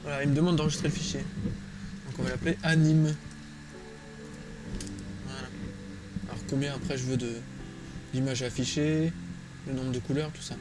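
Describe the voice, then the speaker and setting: A man talks casually through a screen-recorded tutorial. His pitch is middling and he often mutters to himself in slangy, informal phrases. Loud music plays behind his voice. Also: steady tone, moderate pace, long pauses, poor audio. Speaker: drawing tutorial presenter. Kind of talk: casual screen-recorded tutorial, thinking aloud while drawing. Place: small room at home, indoors.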